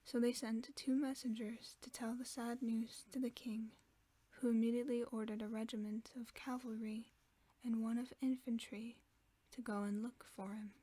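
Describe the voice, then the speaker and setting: A woman reading a fairy tale aloud for sleep in a soft, steady whisper. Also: a little high, normal speed, breathy edge, rough recording. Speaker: ASMR storyteller.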